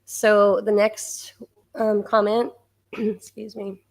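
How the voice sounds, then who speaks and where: Hoarse woman hosting a chatty livestream. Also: medium pitch, monotone, slow pace, a little mellow. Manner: chatty, laughs often, stops to clear her throat. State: hoarse, losing her voice. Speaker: livestream host reading viewer comments. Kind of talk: casual chat.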